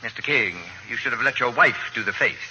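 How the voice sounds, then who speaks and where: An actor playing a haughty, precise gentleman genius in a 1940s radio drama, pompous and formal, with clipped diction. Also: mid-range voice, a little fast. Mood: dry, lofty. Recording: bright, noisy old radio recording.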